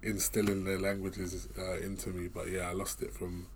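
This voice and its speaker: A young man hosting a podcast in a slightly low, relaxed voice. He chats in easygoing slang and asks questions without hurry. The overall feel is casual, as if talking with a friend. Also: moderate pace, background noise. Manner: laid-back slangy talk. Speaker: podcast host. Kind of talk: casual interview and show outro.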